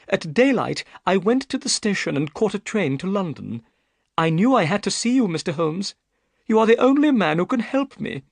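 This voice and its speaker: A man's voice: high voice, very animated, moderate pace, creaky, no echo, voice pushed up, exaggerated voice. A man voicing a frightened young woman who pleads for help, with earnest, breathless delivery. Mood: anxious. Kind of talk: audiobook character reading.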